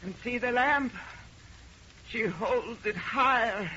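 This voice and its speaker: Eerie accusing mother, high, intense voice. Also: pushed and bright. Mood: eerie, insistent. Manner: melodramatic, intense, deliberate. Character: haunted, accusing grieving mother, eerily insistent. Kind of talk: old-time radio horror acting. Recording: noisy, dull old radio recording.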